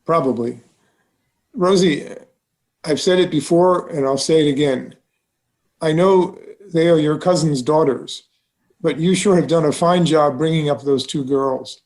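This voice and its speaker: A man's voice: on the high side, unhurried, darkish tone. A man playing a genial, cautious uncle who is a banker and trustee, in a read-through of a stage musical. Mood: measured, avuncular. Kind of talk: musical table read, scripted dialogue. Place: recorded online.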